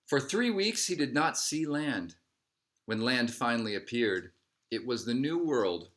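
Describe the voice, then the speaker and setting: A man reading a picture book aloud as a narrator, in measured phrases that settle at the ends. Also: mid-range voice, animated, fairly bright tone. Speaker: storybook reader.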